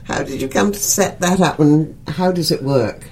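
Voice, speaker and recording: A woman hosting a radio-style talk show, asking a guest questions in a measured, encouraging way. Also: low voice, darkish tone, slightly rough. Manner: measured, encouraging, frequent short acknowledgements. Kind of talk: interviewing a guest.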